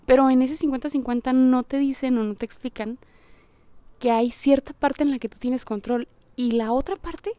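Woman podcaster just chatting, kinda high but mellow voice, slangy, thinking out loud, super dry sound. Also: fairly even intonation, normal speed, no room sound. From Puerto Rico. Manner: informal, slangy, rambling, thinking aloud. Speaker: podcast host. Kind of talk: casual monologue, reflective chat.

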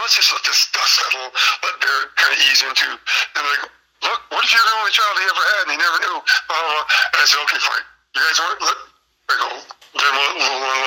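A man's voice: fairly high pitch, bright, forceful tone, slightly rough, rough recording. A man in his fifties from Illinois, telling his personal story as a podcast guest and often repeating words as he restarts. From Illinois, USA. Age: 50s.

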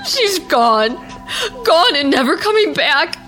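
High-voiced, frantic mother. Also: big pitch swings, slow, pushed and bright, heavily acted. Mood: despairing. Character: frantic, distraught mother of a lost little girl. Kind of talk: radio drama acting. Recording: radio drama with music behind.

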